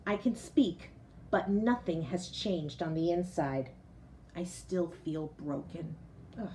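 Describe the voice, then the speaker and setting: A woman reading a picture book aloud to children like a teacher, expressive, pausing to explain and put questions directly to young listeners. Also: on the low side, slight background noise. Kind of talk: storybook read-aloud with explanatory asides.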